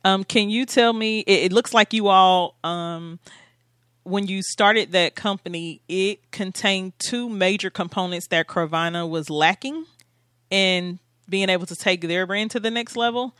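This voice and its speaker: A woman hosts a show in a smooth, clear voice. She speaks casually and haltingly, feeling her way through her sentences. The sound is dry and close, with little room around it. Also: mid-range voice, a bit bright, no room sound. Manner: halting, hesitant when asking questions. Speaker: podcast and radio show host. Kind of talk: casual conversational interview.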